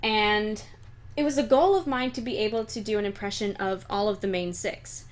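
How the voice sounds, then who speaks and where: A woman's voice: medium pitch, normal speed. A young woman, a guest voice actress and singer, chatting casually in an interview, giggling and rambling with lots of fillers.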